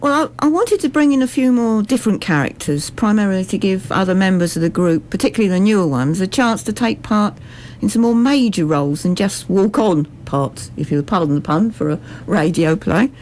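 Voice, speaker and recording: A woman with a mid-pitched voice. She answers interview questions in full, articulate sentences, explaining as she goes, over faint background noise. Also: animated, brisk, a little mellow. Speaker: playwright interview guest.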